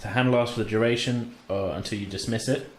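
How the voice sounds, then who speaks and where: A man acting as game master, narrating and explaining rules in a halting, casual, chuckling way. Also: fairly low pitch, steady tone. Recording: roomy, echoing home recording with faint noise.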